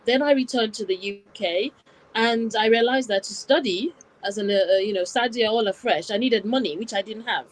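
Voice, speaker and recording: A woman's voice: medium pitch, normal speed, a little hiss or hum, low-quality recording. A woman born in Wales and raised in Nigeria, telling her personal story step by step as an interview guest, in a reflective way. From born in Wales, raised in Nigeria from about nine.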